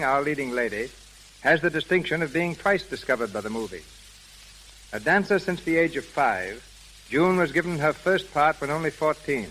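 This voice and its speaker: A man hosting a radio theatre, reading scripted narration in a formal, measured 1930s showman style. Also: a little high, a bit bright. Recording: crackly, hissy 1930s radio recording.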